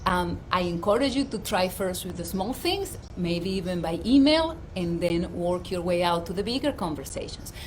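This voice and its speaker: A woman's voice: lowish voice, animated, moderate pace, hardly any pauses, slight background noise, poor audio. A middle-aged woman with a Dominican accent, a life coach giving an earnest, emphatic livestream talk outdoors. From Dominican Republic. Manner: warm, earnest, emphatic presenter. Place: outdoors at her home.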